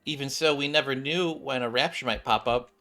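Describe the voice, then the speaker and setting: Male streamer, bright forceful voice, reading game story aloud, stumbles over words, music behind. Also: medium pitch, a little fast. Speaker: gaming streamer.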